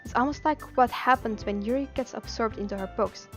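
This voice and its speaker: Her voice sits fairly high, over music. She is a woman from Belgium, a native Dutch speaker with that accent in her English. She streams live, chatting and reading game text aloud, expressive and giggly, and trips over her words now and then. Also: brisk.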